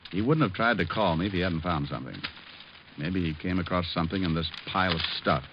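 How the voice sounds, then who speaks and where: Actor as a cocky low-voiced radio private eye. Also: male voice, fast, lightly acted. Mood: musing. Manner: wry, breezy. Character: wisecracking, tough, cocky male private detective. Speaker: lead actor in a detective radio drama. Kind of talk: old-time radio noir acting and narration. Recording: dull, noisy old radio recording.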